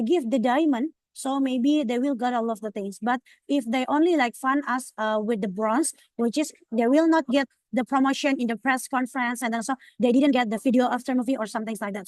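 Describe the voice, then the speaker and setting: Young Indonesian-accented woman, slightly high voice, dry close sound, halting presenter style. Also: dry recording. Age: young adult. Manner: hesitant, many fillers and restarts. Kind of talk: online orientation presentation.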